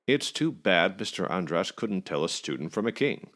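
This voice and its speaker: A man as a sly, commanding storybook king, lively pitch. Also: normal speed, heavily acted. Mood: amused. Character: jovial, commanding male king, teasing and sly. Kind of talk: folk tale storybook reading.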